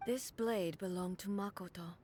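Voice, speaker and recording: A woman's voice: mid-range voice, darkish tone, lightly acted. Video game role: stoic immortal warrior played by a woman, commanding and formal, mid-range voice, noise behind. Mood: solemn, composed. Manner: formal, measured, stately delivery. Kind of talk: dramatic video game voice acting.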